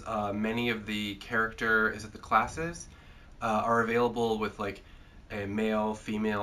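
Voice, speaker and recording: A man's voice: on the low side, pushed and bright. A man hosting a casual chat, congested from a cold, rambling and joking with lots of filler sounds. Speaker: host.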